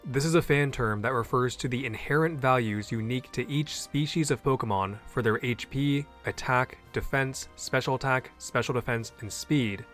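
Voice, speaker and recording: A man's voice: mid-range voice, a bit bright. A man hosting a scripted explainer video, earnest and opinionated, with a native English voice. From half Japanese. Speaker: YouTube host.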